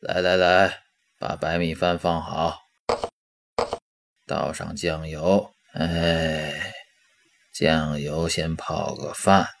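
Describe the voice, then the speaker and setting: Man playing a deep, drawling gruff old master. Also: little pitch movement, extremely slow, pauses often, heavily acted. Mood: bossy, folksy. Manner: drawling, colloquial, scolding fondly. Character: gruff, folksy, doting older male demon-hunting master. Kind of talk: Chinese audio drama acting. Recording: outdoor birdsong and clicking noises behind.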